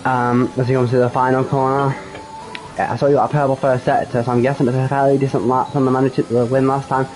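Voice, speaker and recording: Croaky man commentating a sim race. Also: medium pitch, little pitch movement, fast, a little mellow, faint music behind, rough recording. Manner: hesitant, frequent ums and self-corrections. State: slightly croaky from a cold. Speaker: sim racing league commentator. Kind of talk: solo live race commentary.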